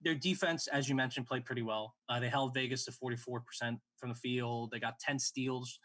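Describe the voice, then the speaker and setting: A man's voice: mid-range voice, steady tone, fairly quick, fairly bright tone. A man in his late twenties hosting a sports show, giving casual game analysis in a conversational way.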